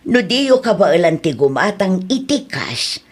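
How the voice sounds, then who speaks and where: A woman's voice: fairly low pitch, big pitch swings, unhurried, breathy edge, full character voice. A woman playing a patient Ilocano mother. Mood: advising. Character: caring middle-aged mother, gently advising. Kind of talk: audio drama acting.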